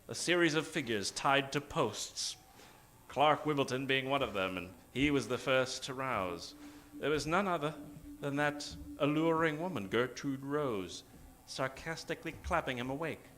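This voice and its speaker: A man narrating an improvised comedy in old-time radio melodrama style, with dramatic, deliberate pauses. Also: medium pitch, animated, bright, forceful tone.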